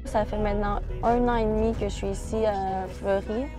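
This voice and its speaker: A woman in her twenties from Quebec with a Québécois accent, a footballer chatting casually to the camera with relaxed fillers. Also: mid-range voice, moderate pace. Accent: Québécois French. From Quebec, Canada. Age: 20s. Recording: music playing behind.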